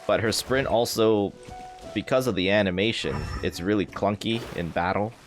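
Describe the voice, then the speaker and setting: Low-voiced man streaming a game. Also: moderate pace. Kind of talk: casual live gameplay commentary. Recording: game music behind the voice. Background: loud video game music.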